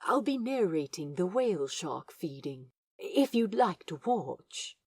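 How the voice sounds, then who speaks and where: An eager, nervous man played by a woman in an audio romance, courteous and a little formal in his wording. His voice is husky and very dry in sound, with an unhurried pace. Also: fairly low pitch, sing-song, darkish tone, no echo, full character voice. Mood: shy, eager. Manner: polite, slightly formal wording. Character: nervous, eager, polite male aquarium docent.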